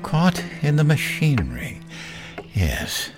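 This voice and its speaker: A man playing a gentle, bereaved elderly husband and father in a horror audio drama, hesitant and pleading. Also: a little high, huge pitch swings, takes it slowly, over music, exaggerated voice. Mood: shaken, restrained.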